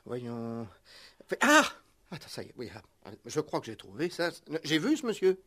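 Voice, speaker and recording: Radio drama innkeeper: nervous and eager to please, higher-pitched, very animated, stammering, repetitive, self-justifying. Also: male voice, moderate pace, exaggerated voice. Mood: excited recognition. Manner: flustered, stammering, repeats himself insistently. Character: nervous, eager, self-righteous male country innkeeper and cook. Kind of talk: 1960s French radio play acting.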